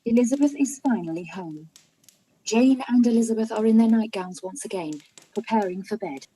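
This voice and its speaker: A woman reading the narration and stage directions for a live-read radio play in a calm, even way. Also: fairly high pitch, normal speed, breathy edge, low-quality recording.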